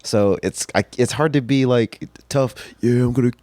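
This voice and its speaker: A soft-spoken young man who calls his own voice high-pitched, chatting casually as a podcast guest. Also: moderate pace, no echo. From North Carolina. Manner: soft-spoken, laughs often.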